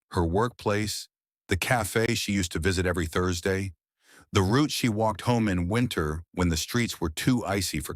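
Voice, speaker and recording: A man reading a noir thriller audiobook in a measured, brooding style. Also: sits low, dry recording, clean studio sound. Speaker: audiobook narrator. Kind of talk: scripted audiobook narration.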